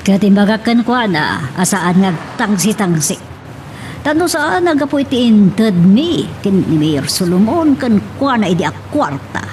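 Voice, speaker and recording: A woman's voice: medium pitch, very animated, unhurried, darkish tone, slight background noise. A woman with an Ilocano accent playing a haughty, scheming wealthy madam in an audio drama. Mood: displeased.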